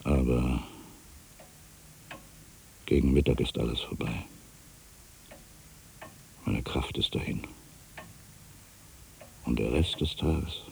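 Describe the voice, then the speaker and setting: An actor playing an aging, world-weary writer in an introspective, theatrical literary monologue, anxious and brooding, given to grandiose fancies. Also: male voice, very deep voice, slow, gravelly, pauses often, slight background noise, exaggerated voice, low-quality recording. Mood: weary, hushed. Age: older. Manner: theatrical, introspective, swinging between hushed and emphatic delivery.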